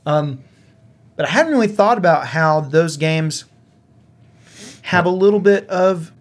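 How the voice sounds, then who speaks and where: Man, slightly high voice, casual podcast co-host, conversational gaming chat. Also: animated, measured pace.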